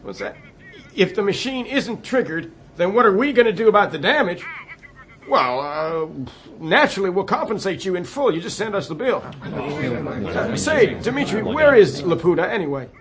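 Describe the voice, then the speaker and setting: An actor playing a flustered, placating American President on a hotline call in a satirical radio play, halting and stammering politely. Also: male voice, high voice, fairly bright tone. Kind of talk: satirical radio comedy drama.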